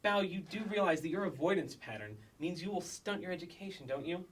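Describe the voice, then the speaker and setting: A man's voice: high-pitched, fairly quick, husky. Scolding, exasperated kindergarten teacher, male actor, formal and dry, staged comedy reading, mic at a distance. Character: exasperated, strict kindergarten teacher, Miss Della.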